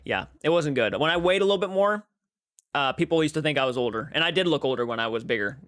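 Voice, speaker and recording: A young man in his twenties hosts a relaxed livestream, rambling as he reads out viewer comments and answers them. His voice sits a little high for a man. The sound is close and dry, with no room echo. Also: fast talker. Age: 20s. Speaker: livestream host. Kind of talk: casual livestream chat.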